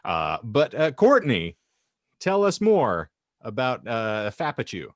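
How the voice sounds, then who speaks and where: A man's voice: medium pitch, wildly swooping pitch, slow pace, dry recording, studio quality. An American man hosting a video podcast in a jokey, wordy, playful mock-formal style. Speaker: podcast host.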